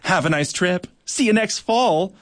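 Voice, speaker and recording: A man's voice: medium pitch, very animated, a little slow, breathy edge, dry recording, clean studio sound, heavily acted. A man voicing a grouchy, spiteful male cockroach who gloats and chortles at the ants he bullies. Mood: gloating.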